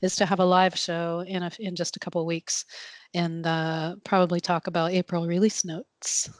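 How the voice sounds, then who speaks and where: Woman co-host, easygoing and chatty, often laughing, mid-pitched, dry studio sound. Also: normal speed, no room sound. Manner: relaxed, laughs often, trails off. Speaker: podcast co-host. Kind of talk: casual chat.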